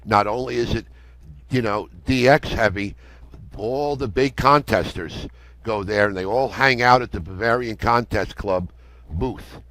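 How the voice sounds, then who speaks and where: A man's voice: lowish voice, unhurried, a bit bright. An older American man, telling a story conversationally as an interview guest. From United States. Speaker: interview guest, ham radio operator.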